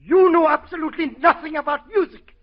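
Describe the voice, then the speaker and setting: An excitable French violinist in a vintage radio comedy. His voice is extremely high and theatrical, full of wounded vanity and broken English. The sound is a muffled old broadcast. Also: brisk. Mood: mocking. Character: excitable, vain French orchestra violinist, comically indignant. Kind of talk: 1940s radio comedy acting.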